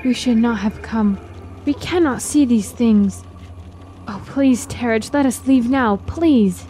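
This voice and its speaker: A woman's voice: fairly high pitch, slow pace, mellow, faint music behind. A woman playing an anxious, devoted young-world fantasy queen in a radio drama, speaking earnestly and with worry. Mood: anxious, pleading. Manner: earnest, worried.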